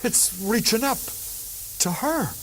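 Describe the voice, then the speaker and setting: A man's voice: extremely high pitch, animated, slow, exaggerated voice. An actor playing a timid, frightened American man pleading nervously in a vintage radio horror drama, on a noisy old recording. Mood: horrified. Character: timid, frightened male sidekick called Sam, pleading. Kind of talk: 1930s-40s American radio horror acting.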